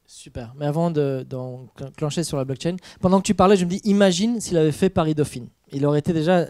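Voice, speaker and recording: Man, panel moderator, teasing, mellow, dry close sound. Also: on the high side, fast, no echo. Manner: friendly, teasing questions.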